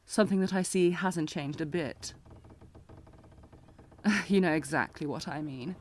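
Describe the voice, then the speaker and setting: A woman's voice: low voice, a little slow, darkish tone. A woman playing a sardonic, protective knight bodyguard in a fantasy audio roleplay, with a taunting, commanding, intense manner. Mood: teasing, smug, then mocking, exasperated. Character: sardonic, protective female knight bodyguard, old academy rival. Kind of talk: scripted fantasy audio roleplay, acted one-sided dialogue.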